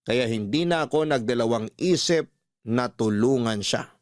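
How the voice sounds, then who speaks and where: A man's voice: mid-range voice, moderate pace, dry recording, studio quality, natural voice. A man playing a responsible uncle, narrating his story earnestly as scripted radio drama. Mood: reflective, earnest.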